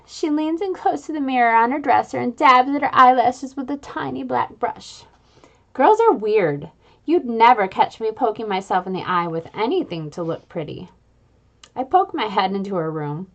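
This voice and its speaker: A woman reading a children's book aloud in an expressive, playful way, adding little sound effects. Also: fairly high pitch, normal speed, muffled recording. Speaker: reader.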